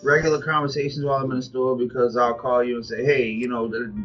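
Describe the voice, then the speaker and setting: A man with an American accent and a husky voice, telling anecdotes in casual, colloquial vlog chat. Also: medium pitch, level tone, quick pace, a bit bright. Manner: colloquial, acts out quoted exchanges. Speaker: vlog co-host. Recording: dull lo-fi audio, music underneath.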